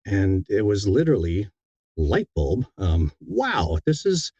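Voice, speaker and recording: Low, mellow middle-aged man, dry sound. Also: wildly swooping pitch, takes it slowly, husky, dry recording. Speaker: interview guest, audiobook narrator. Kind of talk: casual conversational storytelling. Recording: slightly dull, dry audio.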